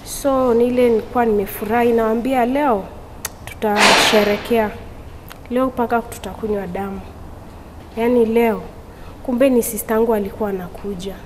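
A young woman, giving a halting personal testimony as an interview guest in a studio, talking through a lapel mic. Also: fairly high pitch, fairly even intonation, big-room sound, background noise. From Tanzania. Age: young adult. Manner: halting, with frequent restarts. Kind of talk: personal testimony, mixing Swahili and English. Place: indoor studio.

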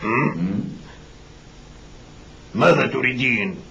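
A man's voice: medium pitch, slow pace, muffled recording, a little hiss or hum. An actor playing a stern middle-aged man seeking a servant, proclaiming in a cartoon. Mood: questioning. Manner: loud proclaiming. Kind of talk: cartoon dub acting.

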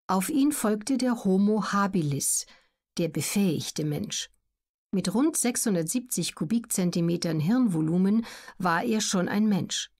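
A woman narrates an educational audiobook in a medium-pitched voice. Her reading is scripted and measured, with every word clearly articulated. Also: lively intonation, darkish tone.

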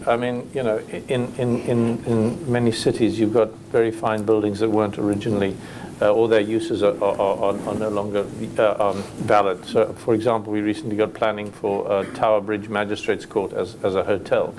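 A man's voice: fairly low pitch, little pitch movement, moderate pace, noisy background. A man speaking as a panelist at a hotel industry conference, thoughtful and hesitant with many restarts.

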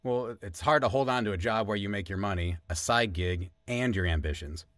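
A man's voice: fairly low pitch, brisk, a bit bright, dry recording, clean studio sound, close to an everyday voice. A man playing a wistful struggling musician and podcaster around thirty, hesitant and reflective in naturalistic audio drama.

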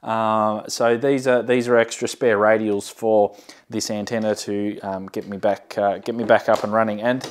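A man's voice: fairly low pitch, level tone, moderate pace, a little hiss or hum. A man in his thirties talking casually to camera as a hobbyist video host, giving a hands-on show-and-tell. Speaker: amateur radio hobbyist and channel host. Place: indoor workshop storeroom with shelving. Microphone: lapel mic.

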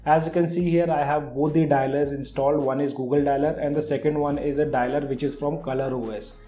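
A man's voice: fairly high pitch, level tone, brisk, warm tone, reverberant. A man hosting a tech channel, talking through a phone app demonstration in a tutorial voice-over. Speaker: tech video host. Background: background music.

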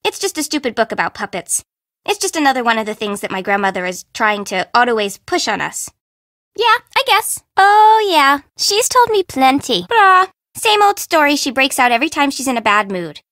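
Expressive young woman, informal, swooping pitch, bright tone, dry studio sound, video game. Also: moderate pace, no echo. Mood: annoyed, defensive. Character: perky, dramatic young woman, friendly but easily spooked. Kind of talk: scripted game dialogue.

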